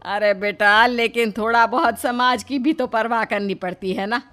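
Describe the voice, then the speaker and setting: A woman's voice: fairly high pitch, fairly even intonation, normal speed, fairly bright tone, close to an everyday voice. A woman voicing a middle-aged mother-in-law in a cartoon story, motherly but fretful and scolding. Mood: exasperated, pleading. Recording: music behind the voice.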